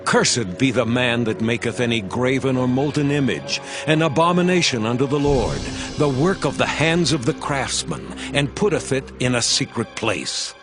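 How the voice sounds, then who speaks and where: An actor playing a Levite priest who sternly proclaims curses before a crowd, in a dramatized scripture reading. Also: male voice, mid-range voice, animated, moderate pace, few pauses, close to an everyday voice. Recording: music bed beneath voice.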